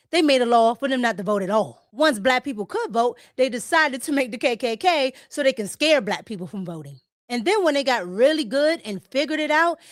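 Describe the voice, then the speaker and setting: American female political host, high register, dry close sound, blunt and mocking commentary. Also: dry recording.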